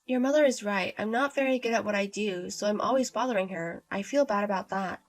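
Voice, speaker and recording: A woman's voice: medium pitch, brisk, soft background music, natural voice. A woman voicing a timid, downtrodden young wife who speaks meekly and apologetically. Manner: meek, apologetic, soft-spoken.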